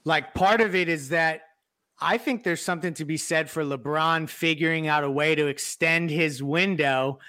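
A man's voice: fairly high pitch, moderate pace, sharp tone, dry recording, clean studio sound. A man chatting casually about sports as a podcast panelist.

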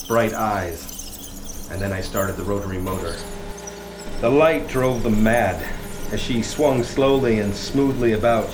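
An actor playing a haunted lighthouse keeper, telling a horror tale in a vivid, suspenseful way for a radio play. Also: male voice, lowish voice, background noise, lightly acted.

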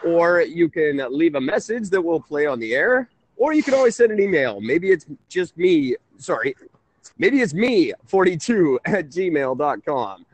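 A man's voice: fairly high pitch, sing-song, measured pace. A man in his thirties hosting a casual call-in radio talk show, rambling and correcting himself. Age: 30s. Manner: rambling, chuckling, self-correcting.

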